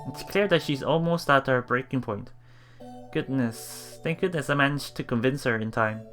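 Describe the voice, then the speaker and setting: A man reading a visual novel aloud for a Let's Play, expressive and dramatic in first-person narration. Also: mid-range voice, normal speed, faint music behind. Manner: expressive, dramatic, with murmured reactions.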